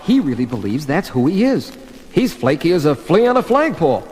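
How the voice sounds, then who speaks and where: An actor playing a cheeky, quippy young student superhero in a seventies audio drama, speaking in a breezy, curious way. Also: male voice, fairly high pitch, big pitch swings, brisk, darkish tone. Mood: wry, cheeky. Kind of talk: 1970s superhero record audio drama acting. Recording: reverberant with faint background hiss.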